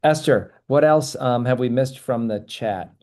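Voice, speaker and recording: A man hosting a webinar, speaking in an appreciative, measured way as he thanks people and takes questions. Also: mid-range voice, darkish tone. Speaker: webinar host. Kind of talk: webinar presentation and Q&A.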